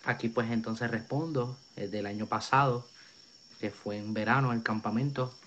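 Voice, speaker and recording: A man's voice: mid-range voice, fairly even intonation, moderate pace, fairly bright tone. A young man in his twenties with a Puerto Rican accent, giving his testimony as an evangelist over a video call. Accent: Puerto Rican Spanish. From Puerto Rico. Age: 20s. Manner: earnest, preacherly, many fillers. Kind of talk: testimony in an interview. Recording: dull video call audio, faint noise.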